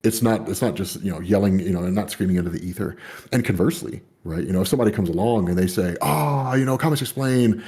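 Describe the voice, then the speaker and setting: A man's voice: low-pitched, fast talker, mellow. A man in casual conversation, rambling with lots of fillers and the odd swear. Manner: rambling, many fillers and "you know".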